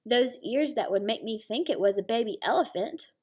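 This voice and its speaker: A woman's voice: on the high side, animated, moderate pace, darkish tone, slightly rough. A woman voicing a sneering, taunting wild dog who leads a pack in a storybook. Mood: scornful. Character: scoffing wild dog, mocking pack leader.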